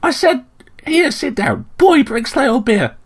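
Storybook talking sparrow: high, chirpy, fussy, cheerfully bossy. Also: male voice, big pitch swings, unhurried, slightly rough, full character voice. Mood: smug, insistent. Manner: chirpy, matter-of-fact.

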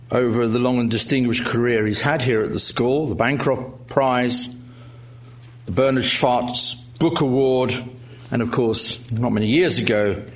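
A middle-aged man chairing a lecture evening, introducing the speaker with wry jokes and many hesitant fillers. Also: mid-range voice. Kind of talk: formal introduction speech with jokes. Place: lecture hall with audience.

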